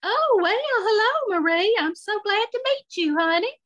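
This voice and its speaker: A woman voicing a warm, nosy seventy-seven-year-old Southern lady with a Southern accent, talking with no filter. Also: unhurried, heavily acted. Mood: flustered high. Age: 70s. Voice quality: voice jumps high when excited. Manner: gushing, outspoken, no filter.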